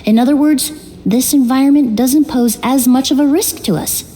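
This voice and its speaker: A woman voicing a calm, analytical young perfumer who reasons aloud in a measured way. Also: sits high, breathy edge. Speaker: investigator in a game story. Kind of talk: video game voice acting. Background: faint game music.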